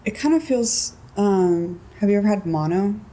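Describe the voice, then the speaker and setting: A woman in her twenties from California, chatting casually in an interview, relaxed and giggly with lots of filler words and a low voice. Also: moderate pace. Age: 20s.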